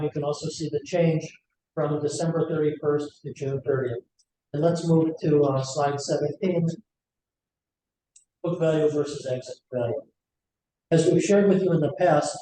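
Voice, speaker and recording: Halting male executive in echoey, noisy room. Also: fairly high pitch, mellow. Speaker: company executive presenting earnings call. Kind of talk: investor presentation with slides.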